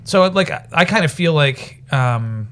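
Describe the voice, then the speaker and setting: A man in his forties, a stand-up comedian guesting on a podcast, telling stories in a halting, repetitive way. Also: on the high side, expressive, fairly bright tone, a little hiss or hum. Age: 40s. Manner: halting, repeats words, storytelling. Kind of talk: casual comedy podcast chat. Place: recording studio.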